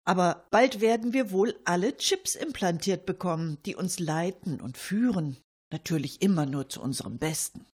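A woman co-hosting a satirical cabaret radio show, with a husky voice and dry irony. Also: on the low side, animated, normal speed, a little mellow.

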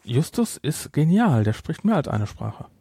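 A man's voice: a little high, sing-song, measured pace, vocal fry, mellow, slightly rough, no echo. A middle-aged man chatting casually as a podcast host, rambling and repeating words for emphasis.

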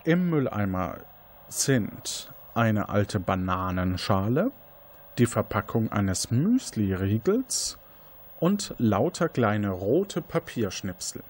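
A man's voice: lowish voice, big pitch swings, slow. A man, improvising scene descriptions as game master of an interactive mystery game, often correcting himself.

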